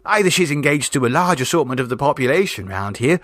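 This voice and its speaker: A man's voice: mid-range voice, expressive, fast, fairly bright tone, dry recording, clean studio sound, pitched above the performer's own voice, natural voice. A man voicing a self-assured, mischievous sixteen-year-old Edwardian girl who tells tall tales in a grand, breezy manner for a story reading. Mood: breezy, dramatic.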